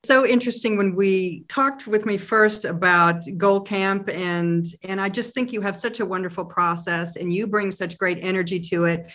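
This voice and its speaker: A middle-aged woman from Oklahoma, a company president and interview guest, telling a story in a reflective, measured way. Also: medium pitch, a bit bright. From Oklahoma, USA.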